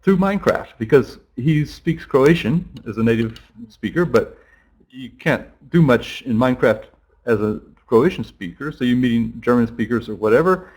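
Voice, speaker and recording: A man's voice: mid-range voice, expressive, normal speed, darkish tone, slightly rough, low-quality recording. Rambling middle-aged man thinking aloud. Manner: rambling, thinking aloud with many restarts and fillers. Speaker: interview guest, teacher. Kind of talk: conversational interview answer.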